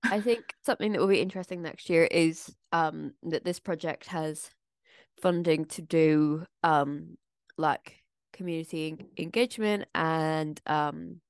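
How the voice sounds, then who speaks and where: A woman's voice: low voice, unhurried, clean tone, no echo, studio quality. A woman co-hosting a casual podcast, a theatre maker talking through her plans in a thoughtful, explaining way. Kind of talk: casual chat.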